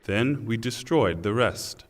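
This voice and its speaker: A man reading a scripture translation in a solemn, formal, deliberate way, with pauses. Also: lowish voice, lively intonation. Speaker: translation reader. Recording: long hall reverb.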